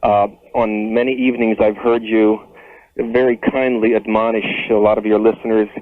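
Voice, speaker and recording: A man's voice: fairly low pitch, level tone, normal speed, no echo. A man calling in to a radio show, chatting casually on the telephone. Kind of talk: casual phone call. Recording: tinny phone line on radio.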